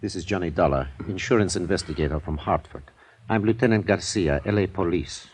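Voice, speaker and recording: An actor playing a blunt, world-weary, streetwise police lieutenant in an old-time radio detective drama. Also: male voice, low-pitched, brisk, lightly acted. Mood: dry, resigned. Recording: faintly noisy old radio recording.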